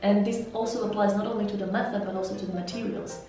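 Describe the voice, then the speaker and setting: A woman from Slovakia with a Slovak accent, an interpreter and language mentor, giving measured, explanatory interview answers. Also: medium pitch, fairly even intonation. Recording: echoey room, heard from some distance, soft music.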